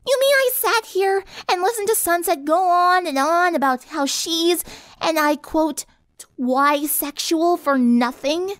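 Woman voicing a high, brash tomboy girl. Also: expressive, unhurried, no room sound, clean studio sound. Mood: outraged. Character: brash, impatient tomboy teenage girl, easily bored.

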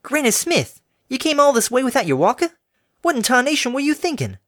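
A man's voice: very high-pitched, wildly swooping pitch, brisk, a bit bright, no echo, studio quality, voice pushed up, heavily acted. A man voicing a blunt, suspicious young farm mare with a Southern drawl. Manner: gruff, folksy, blunt.

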